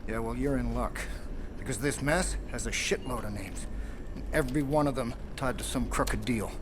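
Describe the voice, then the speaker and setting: A man playing a brash, excitable, foul-mouthed hacker in a video game cutscene, talking in a cocky colloquial way. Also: mid-range voice, normal speed, background noise, close to an everyday voice.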